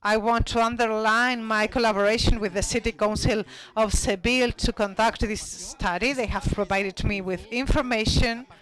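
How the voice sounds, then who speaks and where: A panel speaker at a conference, presenting study findings in a slow, halting way with frequent restarts. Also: medium pitch, fairly even intonation, fairly bright tone, poor audio.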